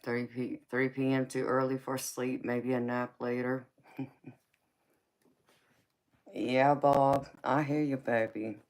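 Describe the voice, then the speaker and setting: Even-toned woman in her forties chatting. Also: a bass voice, slow, pauses often, poor audio. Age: 40s. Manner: affectionate, calls viewers baby, honey and sweetheart. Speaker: tarot reader and livestream host. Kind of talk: casual live-stream chat with viewers.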